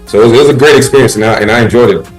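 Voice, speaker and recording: A man's voice: medium pitch, rapid-fire, faint music behind, low-quality recording. A man in his thirties, born in Haiti and raised in the US, chatting casually as a basketball player guest in an easygoing, rambling way with many fillers. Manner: relaxed, rambling, laid-back with many fillers. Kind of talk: casual interview chat.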